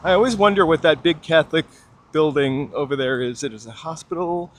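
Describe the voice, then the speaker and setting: A man's voice: a little high, a little fast. Guy live-streaming a walk outside, friendly rambling to viewers, bit of street noise behind. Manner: chatty, upbeat, greets viewers by name. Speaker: live-stream walking vlogger. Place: outdoors on city streets, walking. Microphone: handheld gimbal camera mic.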